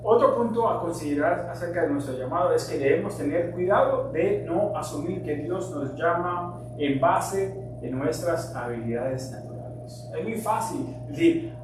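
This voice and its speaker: A middle-aged man born in Colombia whose first language is English, preaching a sermon earnestly, sometimes forceful and sometimes quiet. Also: fairly high pitch, lively intonation, normal speed. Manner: earnest preaching with shifts between emphatic and quiet. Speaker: pastor. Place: church sanctuary. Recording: very echoey, distant mic, hum.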